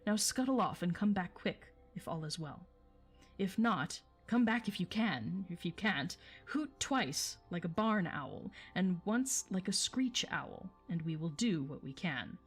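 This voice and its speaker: A commanding male dwarf leader rattles off brisk orders in a storybook reading, as a woman acts him out. The voice stays close to her own, only a touch raised and firm. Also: lowish voice, expressive, husky, faint music behind.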